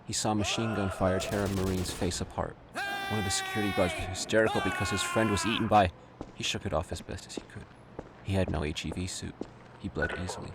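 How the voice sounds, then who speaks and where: A man's voice: sits low, steady tone, fast talker, very noisy. A man reading scripted dramatic prose as the narrator of an audio drama. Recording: loud ambient sound effects under narration.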